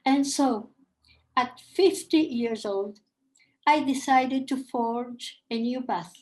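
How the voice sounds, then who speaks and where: High-voiced older woman, slow and measured. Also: fairly even intonation, darkish tone, rough recording. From Argentina. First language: Polish. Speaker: panel speaker. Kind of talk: reading a prepared personal account.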